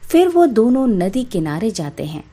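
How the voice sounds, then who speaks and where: A woman's voice: fairly high pitch, big pitch swings, moderate pace, warm tone. A woman narrating a scripted moral tale as a storyteller for children.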